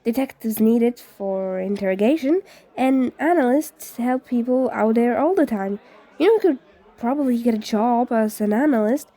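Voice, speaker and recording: Woman voicing an excitable, gushing teenage ghost boy. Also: a little high, normal speed, lightly acted. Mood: encouraging. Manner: gushing, eager, stumbles over words.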